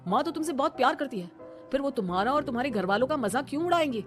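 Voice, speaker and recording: A reasonable young husband in a cartoon, voiced by a woman. He talks briskly in a mid-range voice and tries to calm things down. Soft music runs behind. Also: high-pitched.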